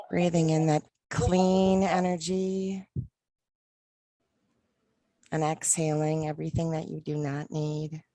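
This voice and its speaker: Woman host, low voice, slow calm guiding, dry close sound, video call. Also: no echo, poor audio. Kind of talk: guided meditation and hosting.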